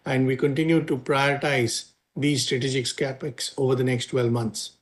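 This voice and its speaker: A man with an Indian accent delivering prepared financial remarks as a company executive, formal and measured. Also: mid-range voice, flat delivery. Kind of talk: prepared earnings call remarks.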